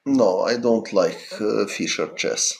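A middle-aged man from Ukraine with a Ukrainian accent, chatting casually on a chess stream in halting English with many fillers. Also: fairly low pitch, vocal fry, darkish tone. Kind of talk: casual livestream chat answering viewers.

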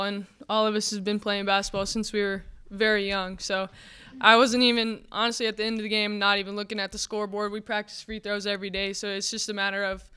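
A young woman basketball player answering at a press table mic, calm and matter-of-fact. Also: mid-range voice, smooth. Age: young adult. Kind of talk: post-game press conference answers. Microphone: desk mic on a stand.

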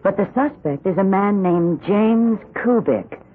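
An actress playing a chatty, practical American housewife in a radio drama, conversational and insistent. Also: female voice, mid-range voice, expressive, a little slow, slightly rough. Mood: concerned. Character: chatty, practical, self-interested suburban housewife. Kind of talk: 1970s radio drama acting. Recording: muffled old radio broadcast.

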